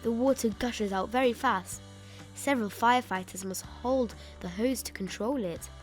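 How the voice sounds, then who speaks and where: A woman reading a children's picture book aloud in an expressive, emphatic way, stretching out key words. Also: on the high side, normal speed. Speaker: children's story reader. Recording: music bed behind the voice.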